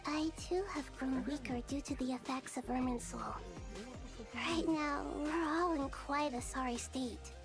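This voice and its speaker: High-voiced, earnest young goddess. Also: female voice, steady tone. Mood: grave, resolute. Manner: measured, formal, solemn delivery. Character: small, earnest young goddess of wisdom, gravely burdened. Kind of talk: scripted video game dialogue. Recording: game music behind the voice.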